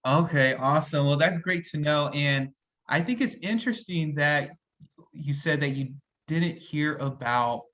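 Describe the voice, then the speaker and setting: A man's voice: fairly high pitch, a little slow, a bit bright, lo-fi sound. A man hosting a casual interview over a call, encouraging his guest and often agreeing. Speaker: host.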